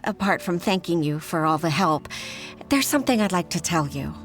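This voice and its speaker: Woman acting an earnest, lower-voiced deferential official. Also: lively intonation, normal speed, close to an everyday voice. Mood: earnest, admiring. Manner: respectful, formal, hesitant. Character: earnest, humble, deferential adult female official, a mother. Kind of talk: anime video game dub acting. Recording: music behind.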